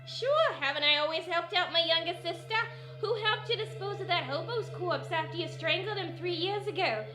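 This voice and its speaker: A woman's voice: extremely high pitch, moderate pace, noisy background. A woman playing a dry, condescending older sister in a comic 1940s-style radio play. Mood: deadpan, condescending. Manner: deadpan, condescending. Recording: roomy echo under loud music.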